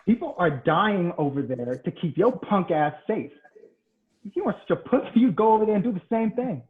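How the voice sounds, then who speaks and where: A man's voice: sits high, animated, moderate pace. A man reading the part of a hot-tempered, swaggering teenage football player in a table read. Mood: angry. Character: brash, hot-tempered teen football jock. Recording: tinny phone-like line.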